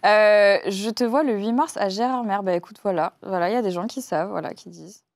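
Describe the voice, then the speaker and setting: A tired woman hosting a casual chat, lively and joking, who later reads a letter aloud. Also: mid-range voice, dry recording. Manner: lively, joking, quick to laugh. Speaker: host.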